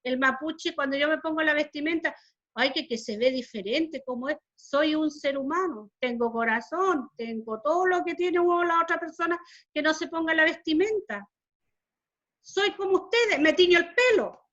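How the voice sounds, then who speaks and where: A woman with a Chilean Spanish accent speaks to a class over a video call. Her voice sits high, and she talks earnestly and with feeling, circling back over her own words. The sound is dull and lo-fi. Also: moderate pace, fairly bright tone. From southern Chile. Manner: earnest, emotional, repeats phrases. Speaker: Mapuche community speaker. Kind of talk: heartfelt talk to a class.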